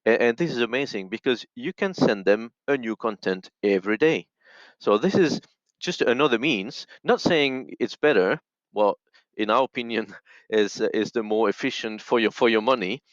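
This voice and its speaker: A man explaining conversationally as a guest, repeating phrases haltingly, on dull lo-fi audio. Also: mid-range voice, no room sound. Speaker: podcast guest.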